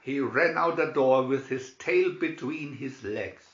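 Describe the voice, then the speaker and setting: A man reading a children's fairy tale as an expressive storyteller. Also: mid-range voice, measured pace. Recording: roomy echo, slightly dull sound.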